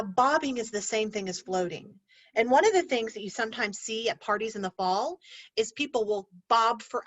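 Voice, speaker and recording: A woman teacher reading a picture book aloud to children, pausing to explain words and ask gentle questions. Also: on the high side, no echo.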